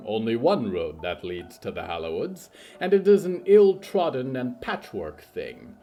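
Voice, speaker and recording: A man delivering formal, theatrical scripted narration for a horror fiction podcast, in a measured storytelling manner with a husky voice. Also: mid-range voice, a little mellow.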